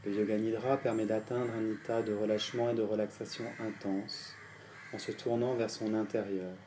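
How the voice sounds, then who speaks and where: A man with a fairly low voice leads a guided relaxation. He speaks calmly and gently, placing each instruction deliberately and leaving pauses. Faint night insects and natural sounds sit behind his voice. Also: slight background noise. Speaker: yoga nidra guide. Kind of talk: guided meditation. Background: crickets and insects at night.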